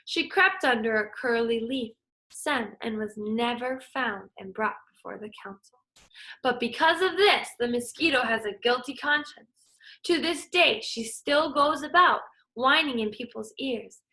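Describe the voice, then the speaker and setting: Bright-voiced woman teacher reading aloud. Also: on the high side, animated, measured pace, poor audio. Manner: encouraging, explaining to young students. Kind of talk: children's read-aloud and lesson.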